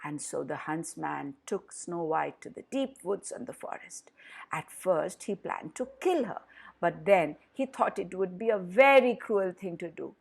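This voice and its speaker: A woman storyteller narrates a children's fairy tale. She takes her time over each phrase with deliberate emphasis. Her pitch moves widely, giving the telling a dramatic, expressive shape. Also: creaky, slightly rough.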